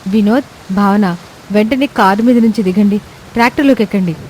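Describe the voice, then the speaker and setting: A woman's voice: medium pitch, fairly quick, a little mellow, a little hiss or hum, close to an everyday voice, poor audio. A woman playing a brave, caring young village daughter-in-law who takes charge and reassures people in a moral story. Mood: urgent, reassuring. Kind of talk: Telugu moral story voice acting.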